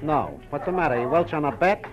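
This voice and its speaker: A man's voice: on the high side, very animated, fairly quick, music in the background. A man playing a terse, sly young punk of a racetrack tipster, talking slang in 1940s-style radio drama. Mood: sly, guarded. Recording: dull old radio, noisy crowd behind.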